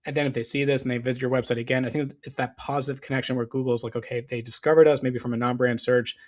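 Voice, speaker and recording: A man narrates a screen-share walkthrough in a loose, conversational way, stopping often for ums and restarts. His voice is starting to go hoarse, and he clears his throat now and then. He sits at a middling pitch for a man. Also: flat delivery, fast talker, a little mellow. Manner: casual, explanatory, frequent fillers and restarts. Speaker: SEO business owner.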